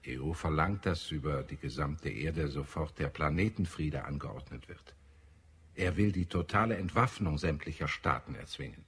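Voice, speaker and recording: Ein Schauspieler spielt in einem deutschen Hörspiel einen ernsten, idealistischen Marsianer, der getragen und deklamierend spricht. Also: male voice, extremely low pitch, normal speed, fairly bright tone, breathy edge, full character voice. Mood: grave, reporting. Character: earnest, idealistic Martian man, visionary and grave. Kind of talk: German radio play acting.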